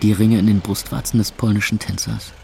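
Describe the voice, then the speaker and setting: A man's voice: on the low side, flat delivery, a little fast, vocal fry, darkish tone, slight background noise. An actor playing a weary, sardonic young club owner who narrates his night in a radio drama in terse phrases. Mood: dry, tense. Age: young adult. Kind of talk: first-person radio drama narration over club music.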